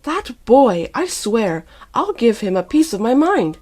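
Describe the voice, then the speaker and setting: A woman's voice: a little high, big pitch swings, unhurried, darkish tone, voice pushed up. A woman voicing an indignant, stern older mother-in-law who scolds, in a dramatised story.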